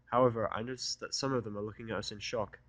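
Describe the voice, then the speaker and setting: A man's voice: lowish voice, steady tone, fast talker, fairly bright tone, no room sound. A man narrating first-person fiction with a sardonic, irritable delivery. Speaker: audiobook narrator.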